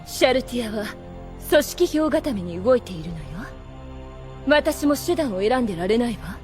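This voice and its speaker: Woman as a high, dramatic, haughty demoness. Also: animated, normal speed, a little mellow, faint music behind, lightly acted. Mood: scheming, dramatic. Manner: imperious, refined feminine phrasing. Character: haughty, scheming, obsessively devoted demoness overseer. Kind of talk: anime audio drama acting.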